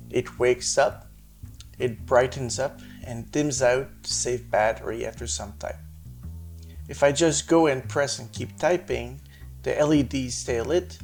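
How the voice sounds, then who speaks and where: A man with a gravelly, creaky voice and a mid-range pitch narrates a tech demo. He explains things step by step in a measured, methodical way. Guitar music plays behind him. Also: a little mellow. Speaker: maker presenting his hardware and firmware project.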